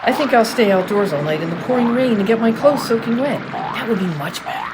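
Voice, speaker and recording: Lively, sarcastic woman acted in a radio comedy. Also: on the high side, brisk, noisy background. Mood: sarcastic, irritated. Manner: dry, sarcastic. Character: sarcastic, impatient adventurous woman named Rose. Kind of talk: comedy radio play acting.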